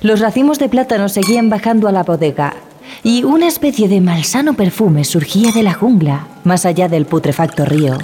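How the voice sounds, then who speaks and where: A woman playing a wary ship passenger who recounts a horror tale in a measured, grave manner. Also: medium pitch, lively intonation, warm tone, a little hiss or hum, lightly acted. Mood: grave recounting. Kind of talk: dramatized first-person horror narration. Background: music.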